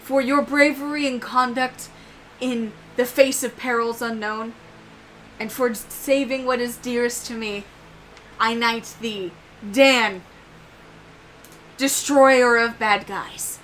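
A woman's voice: fairly high pitch, fairly even intonation, takes it slowly, long pauses, fairly bright tone, slight background noise, poor audio. A woman doing a courtly, earnest male knight. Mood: solemn. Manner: courtly, old-fashioned speech.

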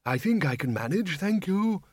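A meek, apologetic undead wizard with a high voice that wanders up and down, polite and hesitant, as a male narrator puts him on. The sound is dry and close. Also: very animated, normal speed, husky, no echo, exaggerated voice. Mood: fretful.

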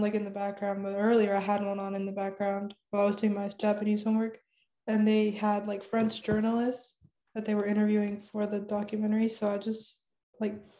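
A woman's voice: medium pitch, mellow, roomy sound. A young woman whose first language is Spanish, chatting casually and laughing often, with lots of "like" fillers. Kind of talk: casual chat.

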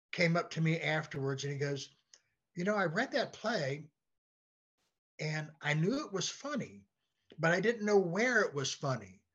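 An American man chatting casually as an interview guest, telling anecdotes in a halting way and often repeating words. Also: a little high, fairly bright tone. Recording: dull, lo-fi call-like audio.

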